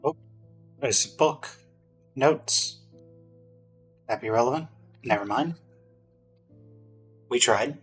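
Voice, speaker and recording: A man's voice: mid-range voice, takes it slowly, long pauses, low-quality recording. A man giving casual playthrough commentary, musing to himself and sometimes reading game text aloud. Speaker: gaming reaction streamer. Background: game music.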